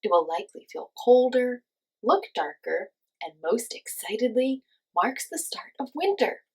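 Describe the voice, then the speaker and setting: A woman teaching young children, giving simple encouraging instructions and asking questions, with pauses for answers. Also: a little high, very animated, husky, poor audio. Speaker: children's class teacher and host. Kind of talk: children's lesson with guided movement.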